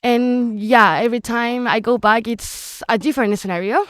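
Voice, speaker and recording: Smooth-voiced teenage woman. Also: fairly high pitch, fairly even intonation, moderate pace, no room sound, clean studio sound. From Chile. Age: teens. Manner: earnest, searching for English words. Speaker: guest. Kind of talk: casual interview.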